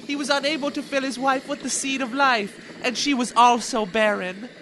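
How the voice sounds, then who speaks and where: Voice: high-pitched, steady tone, normal speed, pushed and bright, slight background noise, low-quality recording. A performer voicing a fiendish, lewd old villainous doctor in a theatrical, gloating, melodramatic way for a campy audio comedy.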